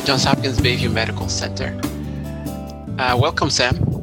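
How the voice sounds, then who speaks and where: A man from Nigeria hosting a podcast interview, curious and quick to react. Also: medium pitch, steady tone, creaky, music in the background. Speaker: podcast host.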